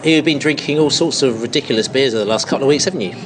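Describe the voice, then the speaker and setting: A man's voice: medium pitch, rapid-fire. A man with a London English accent hosting a casual, jokey podcast chat in a pub. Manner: jokey, bantering. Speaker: podcast host. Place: busy pub.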